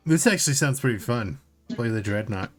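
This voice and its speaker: A man's voice: medium pitch, lively intonation, fairly quick, faint music behind. A man co-hosting a casual tabletop gaming discussion, informally reading out notes and commenting on them. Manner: informal, reads notes aloud then comments. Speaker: podcast co-host.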